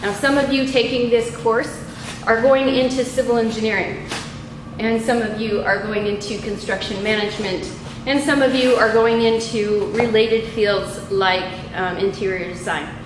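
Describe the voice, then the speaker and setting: A middle-aged woman instructor giving an unscripted, methodical lab demonstration in a halting way, picked up by a camera a few feet away in a small room. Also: a little high, fairly even intonation. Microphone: camera mic a few feet away. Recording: echoey room with clear background noise.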